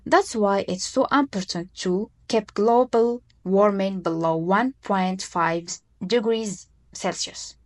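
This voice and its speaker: A woman's voice: medium pitch, slow pace, hardly any pauses. Moroccan woman in her twenties explaining. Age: 20s. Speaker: podcast co-host. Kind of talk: scripted explanatory podcast reading.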